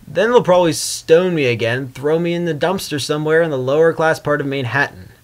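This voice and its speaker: A man's voice: fairly high pitch, a little fast, lightly acted. A man voicing a vain, sarcastic, grumbling male spirit of chaos in a theatrical fan fiction reading. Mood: brooding. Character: Discord, male chaos spirit, sardonic, vain, wary prisoner. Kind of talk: dramatic first-person fan fiction reading.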